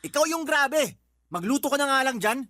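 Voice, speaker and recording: A man's voice: extremely high pitch, animated, fairly quick, pushed and bright, slight background noise, heavily acted. A man acting in a Tagalog radio drama as a freeloading older brother and father who argues defensively. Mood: angry, resentful. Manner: wheedling, touchy, argumentative.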